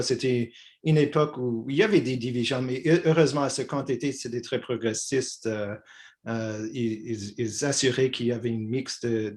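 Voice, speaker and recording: A man in his sixties from Quebec, as a guest in a relaxed dialogue, hesitating and restarting his phrases often. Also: mid-range voice. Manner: halting, many restarts and fillers. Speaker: guest, peace activist. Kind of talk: conversational dialogue.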